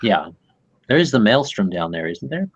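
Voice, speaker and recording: A man's voice: lowish voice, sing-song, highs cut off, slight background noise. Guy gm running a tabletop session, casual, trips over his words a bit. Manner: casual, halting, self-correcting. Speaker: game master.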